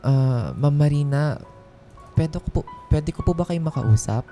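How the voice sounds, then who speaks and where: A woman's voice: deep voice, measured pace, mellow. A woman playing a timid, wronged office worker in a radio drama, speaking hesitantly and deferentially over music. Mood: nervous. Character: timid, wronged female office employee, downtrodden. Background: background music.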